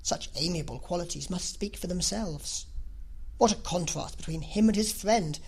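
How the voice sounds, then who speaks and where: A woman's voice: fairly low pitch, moderate pace, a little mellow, breathy edge, poor audio. A woman reading a classic novel as an audiobook narrator, in a scripted literary style.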